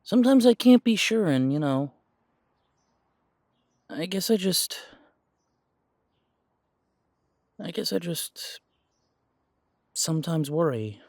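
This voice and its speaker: A man acts out a pure-blood werewolf full of self-doubt in an intimate audio drama. His voice sits a little high for a man and is recorded very dry. He talks haltingly, tripping over words, defensive and unsure of himself. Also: expressive, a little mellow, dry recording. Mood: agitated, defensive, then downcast, self-doubting. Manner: hesitant, halting, stammering. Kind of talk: audio roleplay drama, one side of an intimate conversation.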